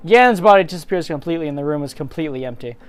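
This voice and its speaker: A mid-pitched amateur narrator, moving between dramatic story reading and casual chat as a co-host. Faint music plays behind the voice. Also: expressive, rapid-fire, no echo.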